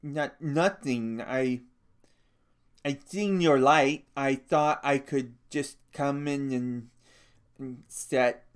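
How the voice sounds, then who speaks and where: A man reading an audiobook, voicing a big, slow-witted, childlike ranch hand who stammers and halts as he speaks. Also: medium pitch, clear, resonant tone, voice pushed up. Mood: timid. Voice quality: stammering, halting.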